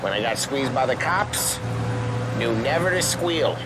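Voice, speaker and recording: A man's voice: mid-range voice, expressive, measured pace, natural voice. An actor playing a cocky, easygoing mob henchman, clipped and wry, in a video game. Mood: wry, probing. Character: cocky, easygoing street-bred male mobster, seasoned henchman. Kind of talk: cinematic video game voice acting. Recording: clear car engine noise behind.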